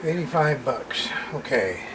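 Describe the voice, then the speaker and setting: A radio repair host talks slowly through a hands-on unboxing in a casual way. The remarks come in short, muttered fragments, as if to themselves. Clear background noise runs behind the voice. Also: mid-range voice, expressive.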